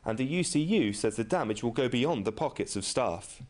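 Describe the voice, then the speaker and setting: A male reporter narrates a scripted news story. His voice is medium in pitch. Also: expressive, normal speed, a little mellow.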